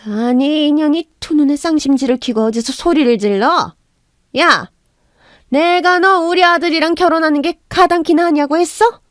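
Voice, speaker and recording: A woman doing a shrill scornful mother-in-law. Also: expressive, normal speed, no room sound, studio quality. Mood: shrieking. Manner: shrieking, insulting, contemptuous.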